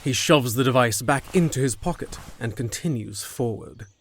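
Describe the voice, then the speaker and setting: A man's voice: medium pitch, moderate pace. A man reading scripted narration for a fantasy audio drama.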